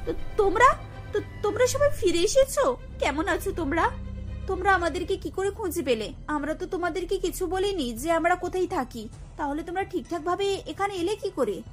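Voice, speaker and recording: A woman voicing a young village wife in an animated family tale. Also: very high voice, brisk, faint music behind, pitched above the performer's own voice. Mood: astonished, joyful. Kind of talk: Bengali cartoon dubbing.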